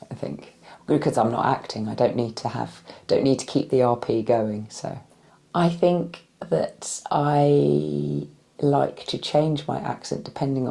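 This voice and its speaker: A woman's voice: very deep voice, vocal fry, dark tone. A tired woman in her forties from West London, with a West London accent and a slight Irish-tinged R. She answers interview questions thoughtfully, with many fillers and restarts, and her voice sounds a little cracky. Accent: West London with a slight Irish-influenced R. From West London, England. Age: 40s. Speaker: interviewee, movement practitioner.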